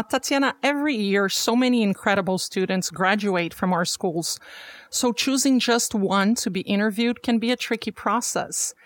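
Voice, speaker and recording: A woman hosts a podcast, delivering a scripted introduction and questions. Her delivery is polished and welcoming at a middle pitch, and the sound is dry with no room echo. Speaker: podcast host.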